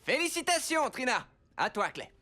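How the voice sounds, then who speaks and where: An upbeat cartoon mentor speaks in a reassuring, encouraging way. His delivery is very animated, with clear music playing behind. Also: very high-pitched, moderate pace. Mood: cheering. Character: encouraging, upbeat male mentor of young superheroes. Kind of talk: children's cartoon dub.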